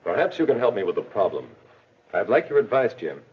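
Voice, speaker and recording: An American man playing a calm, patient, middle-aged school authority figure in a 1940s educational film, speaking in a measured, didactic way. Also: lowish voice, slightly rough, full character voice. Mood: calm, probing. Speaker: school principal or teacher. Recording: dull, lo-fi 1940s film soundtrack.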